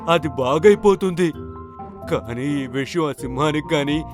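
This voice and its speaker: Old bear elder, male actor: storybook cartoon, lightly raised pitch, measured and wise. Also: animated, music in the background. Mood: solemn, explanatory. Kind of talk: animated children's story acting.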